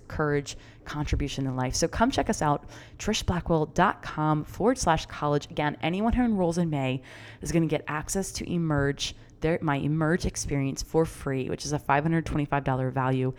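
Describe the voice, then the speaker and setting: A middle-aged woman coaching her listeners through her own podcast in a bright, encouraging, chatty way. Her voice sits a little low for a woman. She talks with easy fillers and rising enthusiasm. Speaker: podcast host and confidence coach. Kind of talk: upbeat solo podcast talk.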